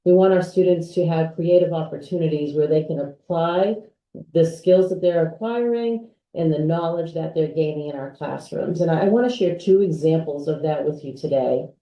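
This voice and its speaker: Mellow-voiced woman presenting from afar. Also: low voice, moderate pace. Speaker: associate head of school and dean. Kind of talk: school presentation. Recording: echoey room from far off.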